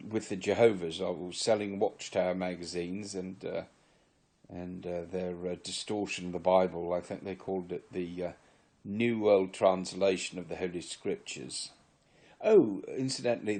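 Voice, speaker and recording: A man's voice: deep voice, warm tone. A man in his sixties from Virginia, speaking in a put-on English accent, gives a rambling, earnest and wry solo testimony. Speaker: former member giving testimony. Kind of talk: solo confessional monologue to camera.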